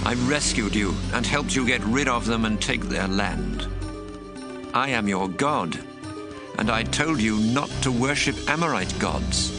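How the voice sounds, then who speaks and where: An actor voicing a stern prophet delivering a solemn rebuke in a dramatized Bible reading. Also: male voice, medium pitch. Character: a male prophet speaking for God, stern.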